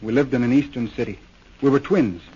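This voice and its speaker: A man's voice: medium pitch, fairly quick, dark tone, husky. A man playing a troubled young war veteran in a 1930s radio drama, speaking in an earnest, hesitant, confessional way. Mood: earnest confessing. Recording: muffled 1930s radio recording.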